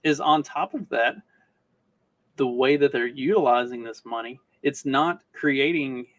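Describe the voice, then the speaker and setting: A man with a medium-pitched voice chats as a podcast co-host. His delivery is casual and somewhat hesitant. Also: unhurried, no echo. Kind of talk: casual chat.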